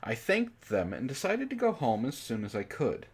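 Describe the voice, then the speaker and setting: A man with a husky voice reading a story dramatically and breaking in with sarcastic, exasperated commentary. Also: mid-range voice, huge pitch swings, moderate pace. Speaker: book club reader and commentator.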